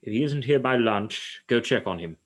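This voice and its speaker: A man's voice: mid-range voice, expressive, moderate pace, lightly acted. A man acting in a radio play as a solemn, haunted psychiatrist who speaks formally and ominously. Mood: guarded, formal. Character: grave, haunted male psychiatrist who fears the supernatural.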